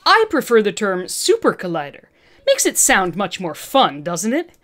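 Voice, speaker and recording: A woman's voice: medium pitch, wildly swooping pitch, moderate pace, a bit bright. A woman voicing an arrogant, agitated woman scientist villain with an intense, condescending manner in a fan fiction reading. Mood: smug lecturing.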